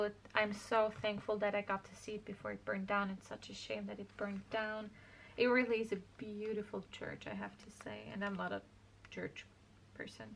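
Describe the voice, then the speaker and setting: Young female crafter from Austria, chatty and meandering, gravelly fry, faint background noise. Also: medium pitch. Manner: rambling, self-correcting, chatty. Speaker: craft vlogger. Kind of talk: casual scrapbook walkthrough.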